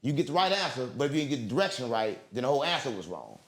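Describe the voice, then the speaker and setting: A man's voice: medium pitch, very animated, racing. A man being interviewed, talking in a rambling, emphatic, profane way and repeating himself. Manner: emphatic, repetitive, street slang, profane. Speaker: interview guest. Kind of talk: rambling conspiratorial monologue.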